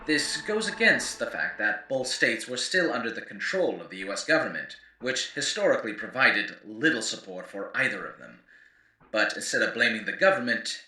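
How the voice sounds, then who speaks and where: An actor playing a grave, eloquent chronicler of a ruined world, narrating lore in formal, theatrical oratory for an audio drama. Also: male voice, lowish voice, bright, forceful tone, reverberant, recorded from a distance, full character voice, rough recording. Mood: scornful, emphatic. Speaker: narrator and host. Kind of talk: scripted in-character lore narration for an audio series.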